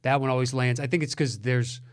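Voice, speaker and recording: A man's voice: mid-range voice, fairly even intonation, a little fast, smooth, no room sound, studio quality. A man co-hosting a podcast, chatting casually in a rambling, sweary way. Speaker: podcast co-host.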